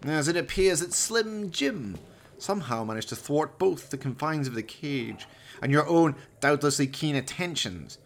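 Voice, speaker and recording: A man's voice: on the high side, expressive, moderate pace, creaky, pitched above the performer's own voice. A man playing an evil, stressed mad scientist in a radio play, speaking in grand, formal, theatrical diction. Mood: haughty, scornful.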